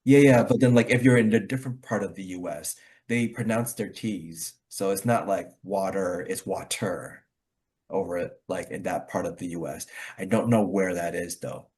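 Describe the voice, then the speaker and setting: Low-voiced Connecticut man, casual rambling host. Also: moderate pace, a little mellow. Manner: rambling with many fillers and restarts, laughs easily. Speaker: club host. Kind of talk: casual conversation practice.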